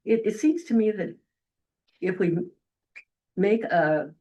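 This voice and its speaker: An older woman from the Midwest with a loud voice, giving a rambling, folksy public comment at a county meeting. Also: mid-range voice, expressive, slow, rough recording. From Eldora, Iowa.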